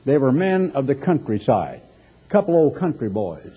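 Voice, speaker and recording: Husky, animated Texan preacher, a man. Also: mid-range voice, moderate pace. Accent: American, Texas. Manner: emphatic, dramatic delivery with rhetorical questions and mocking asides. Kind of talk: sermon with scripture reading and commentary. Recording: dull lo-fi sermon recording.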